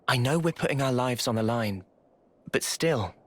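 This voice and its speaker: An actor playing an earnest, reflective young soldier in a video game, with a measured, sincere delivery. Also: male voice, medium pitch, lively intonation, no echo. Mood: quietly defensive. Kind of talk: scripted game narration and dialogue. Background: loud music.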